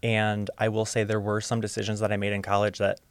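A young man from Ohio speaking as a podcast guest, open and reflective, sometimes halting. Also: fairly low pitch, monotone, smooth. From Ohio, USA. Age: young adult. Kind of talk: casual interview storytelling.

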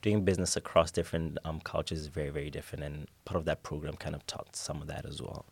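A man's voice: extremely low pitch, fast, gravelly, breathy edge, no echo. A young man talking easily as a guest in an interview, with plenty of ums and restarts. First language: English. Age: young adult. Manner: relaxed, with many fillers and restarts. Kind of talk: casual interview answers. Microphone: studio mic at a table.

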